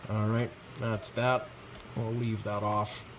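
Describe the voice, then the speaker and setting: A man's voice: fairly low pitch, slow pace. Casual man demonstrating gear over background noise. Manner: informal, hesitant, thinking aloud while handling controls. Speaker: product reviewer. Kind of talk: casual hands-on product demo. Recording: clear steady background noise.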